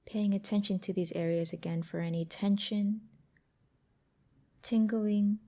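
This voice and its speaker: A woman guiding a mindfulness exercise in a gentle, unhurried, instructive way with pauses between phrases. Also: mid-range voice, fairly even intonation. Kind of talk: guided meditation narration.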